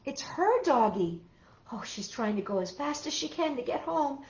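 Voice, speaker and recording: A woman hosting a storytime for children, reading aloud in an expressive, casual way and putting questions to young listeners. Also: high voice, moderate pace, echoey room. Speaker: storytime host reading picture books to children.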